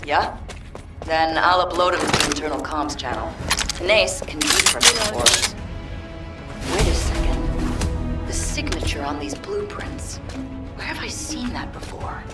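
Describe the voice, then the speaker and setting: A woman's voice: deep voice, lively intonation, unhurried, long pauses. An actress voicing a brash, driven woman field operative in a video game. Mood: focused, puzzled. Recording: roomy, distant, under loud game music. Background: loud game music.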